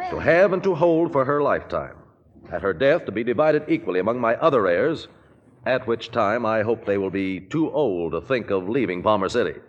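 An actor playing a smooth, controlling lawyer in a 1940s radio drama, speaking in a measured, formal and persuasive way. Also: male voice, medium pitch, muffled recording, close to an everyday voice. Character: smooth, controlling, scheming lawyer, adult man. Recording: vintage radio, long reverberant tail.

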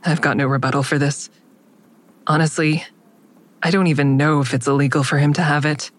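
A woman's voice: deep voice, steady tone, fairly quick. An actress playing an anxious, guarded woman who narrates her own interrogation in an audio drama, restrained and introspective. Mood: uneasy, defensive. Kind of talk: first-person audio drama narration and dialogue.

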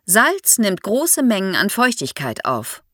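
A woman's voice: mid-range voice, huge pitch swings, takes it slowly, fairly bright tone, no echo, studio quality. A woman explains history to young listeners as an expert guest, in a didactic way, posing questions as she goes.

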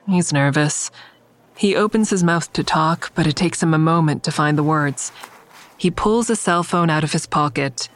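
An actress playing an observant, wry, secretive woman who narrates her own story in an audio drama, measured and descriptive. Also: deep voice, natural voice. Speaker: narrator and lead character.

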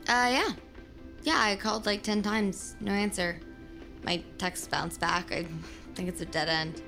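A woman's voice: mid-range voice, steady tone, measured pace, gravelly, pushed and bright, faint music behind. A young woman playing a guarded, haunted character in an audio drama. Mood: evasive, guarded. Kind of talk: audio drama acting and narration.

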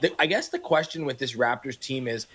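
A man's voice: medium pitch, expressive, brisk, bright, forceful tone, husky. A man co-hosting sports radio, asking long, detailed questions full of statistics.

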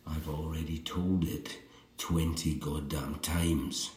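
Distraught astronaut, echoey room. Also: male voice, extremely low pitch, fairly even intonation, a little mellow. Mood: bitter, demanding. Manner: agitated, halting, emphatic. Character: distraught, suspicious astronaut returned after decades away. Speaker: actor in audio fiction. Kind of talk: audio drama acting. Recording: roomy echo, music underneath.

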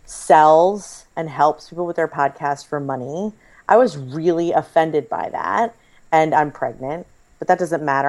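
Low-voiced woman, a bit froggy. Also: darkish tone. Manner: chatty, rambling, self-deprecating. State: slightly hoarse, froggy voice. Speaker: podcast co-host. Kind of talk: casual podcast chat.

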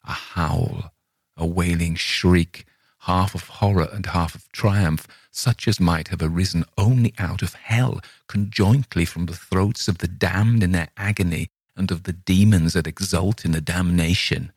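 A man reading as a condemned murderer in a Gothic horror tale, grave and deliberate in delivery. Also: low-pitched, fairly even intonation, dry recording, clean studio sound. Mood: grim horror.

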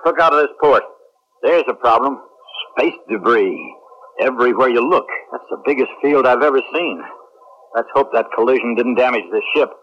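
A man playing a blunt, wry, emotional space captain in a science fiction radio drama, with an expressive, informal delivery. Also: mid-range voice, moderate pace, close to an everyday voice. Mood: alert, concerned. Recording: muffled, noisy 1970s radio recording.

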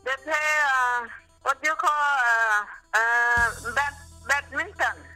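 A middle-aged or older woman with a Thai accent chatting casually on a phone call, haltingly searching for words. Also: high voice, pushed and bright. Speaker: guest, host's mother. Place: on a long-distance phone call. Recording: phone call, music behind.

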